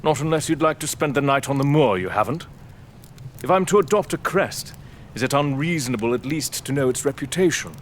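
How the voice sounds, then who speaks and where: A man's voice: medium pitch, animated, a little fast, close to an everyday voice. Guy playing snooty british lord in an audio drama, hedonist dad type, smug and theatrical, bit of background noise. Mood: prying, amused. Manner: haughty, pompous, theatrical. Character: arrogant aristocratic lord, father, hedonistic and sardonic.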